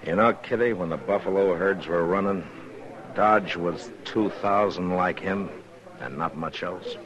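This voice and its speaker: An American actor playing a stern, weary frontier lawman in a 1950s radio western, plain-spoken and measured. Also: sits low, muffled recording, a crowd in the background. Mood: grim, reflective. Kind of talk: 1950s radio western acting, partly first-person narration.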